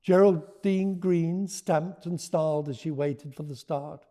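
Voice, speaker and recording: A man with a soft, mellow tone reads for children in a slow, measured way. His voice sits a little high for a man, and he phrases each line deliberately, like a practised storyteller. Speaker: children's author reading his book aloud. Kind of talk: expressive storybook read-aloud with teaching notes.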